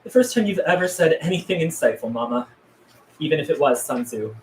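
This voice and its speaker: A man's voice: on the high side, expressive, a little fast, a little hiss or hum, natural voice. Man playing a sardonic weary survivor, echoey room. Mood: sardonic, grumbling. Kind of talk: table read of a film script.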